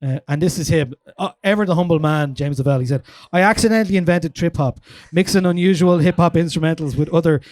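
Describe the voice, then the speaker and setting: A man's voice: on the high side, quick pace, few pauses, darkish tone, no echo. A man hosting a casual chat at a live album listening event, chatty and full of fillers. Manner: chatty, lots of "like" fillers, reads quotes aloud. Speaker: host.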